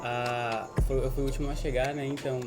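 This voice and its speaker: A man's voice: mid-range voice, flat delivery, fairly quick, loud music behind the voice. A young man, speaking sincerely and gratefully on a podcast. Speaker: podcast member. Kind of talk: casual chat among friends.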